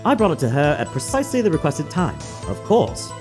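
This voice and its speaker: A man's voice: on the high side, huge pitch swings, a little fast. A man voicing a prim, obsequious head bellboy in a video game dub, overly formal and exact. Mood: proud, formal. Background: music.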